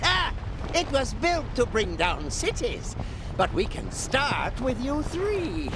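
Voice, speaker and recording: An actor playing a menacing, theatrical goblin warlord in a video game, gloating and threatening. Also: male voice, very high-pitched, huge pitch swings, slow, background noise, heavily acted. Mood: gloating, defiant. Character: menacing goblin villain, crooked army leader, gloating schemer. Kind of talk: video game villain acting.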